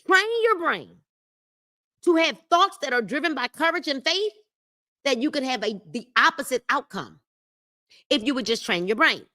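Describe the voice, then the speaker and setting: Bright, lively woman leading a book club. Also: high-pitched, moderate pace, pauses often. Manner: emphatic, repeats key phrases and speaks to the chat. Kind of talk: reading a self-help book aloud with commentary on a live stream. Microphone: livestream mic.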